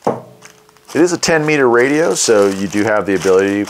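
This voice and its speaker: Low-voiced man, casual gear walkthrough. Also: expressive, normal speed, noisy background. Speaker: radio reviewer, channel host. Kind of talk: casual unboxing and product walkthrough.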